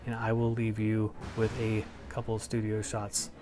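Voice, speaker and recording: A man's voice: lowish voice, completely flat delivery, moderate pace. A man hosting a collectibles review, chatting informally to viewers as an enthusiastic fan. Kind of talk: casual chat to viewers. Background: music.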